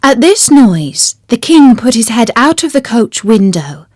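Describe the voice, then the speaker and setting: A woman reading a children's fairy tale as a storyteller, with deliberate, expressive phrasing. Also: on the high side, dry recording, studio quality. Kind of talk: scripted children's storybook narration.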